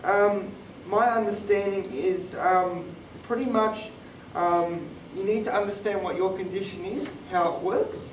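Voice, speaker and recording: Male, twenties, high voice, explanatory panel speaker; recorded far from the mic in an echoey room. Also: steady tone, unhurried, hardly any pauses. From born in Thailand, moved to Australia. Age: 20s. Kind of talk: personal talk on a live panel podcast. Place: conference room, live event. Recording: roomy, distant mic, clear background noise.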